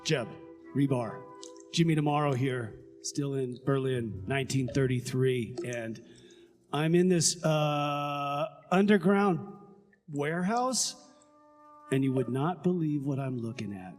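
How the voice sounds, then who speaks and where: Man playing a slow, echoing time-travel adventurer. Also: medium pitch, a little mellow, close to an everyday voice. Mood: awed. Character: plucky time-traveling adventurer secretly reporting back to comrades. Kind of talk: comedy radio theater, hushed mission report. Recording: long hall reverb with music behind.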